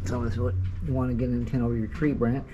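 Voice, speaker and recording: A man giving casual, rambling walk-around commentary as an amateur radio vlogger, halting and thinking aloud. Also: medium pitch, little pitch movement. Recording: dull sound, clear background noise.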